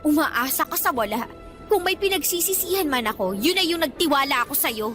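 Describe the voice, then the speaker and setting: Bitter, high-pitched teenage girl. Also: expressive, fairly quick, pushed and bright, soft background music. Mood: sarcastic, defiant. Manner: defiant, sneering. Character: bitter, spiteful eighteen-year-old female former student. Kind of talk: Tagalog radio drama acting.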